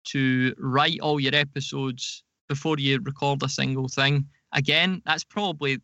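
A man's voice: medium pitch, steady tone, a little slow, sharp tone, no echo. A man speaking as a podcast guest, explaining things conversationally with asides, on a muffled recording. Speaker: guest audio drama producer.

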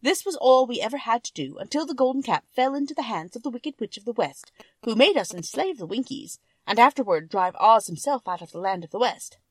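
A woman voicing a cheerful winged monkey king, telling his band's history in an expressive storybook manner. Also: fairly high pitch, brisk, dry recording. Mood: explanatory. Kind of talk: children's storybook character narration.

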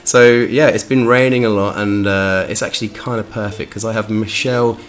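A man's voice: fairly low pitch, fairly even intonation, brisk, slight background noise. A man hosting a podcast interview, chatty and enthusiastic, with lots of fillers and restarts. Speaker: podcast host. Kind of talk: casual interview chat.

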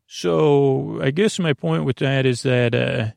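A man with a creaky, gravelly voice at an ordinary male pitch rambles through a soothing bedtime podcast monologue. He speaks haltingly and wanders off into digressions. The sound is close and dry. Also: a little mellow, no room sound. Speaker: podcast host.

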